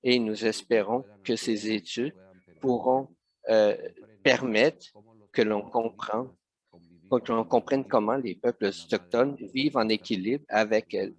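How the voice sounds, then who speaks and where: A man interpreting a webinar live, speaking with frequent hesitations and self-corrections. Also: lowish voice. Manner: hesitant, many fillers and restarts.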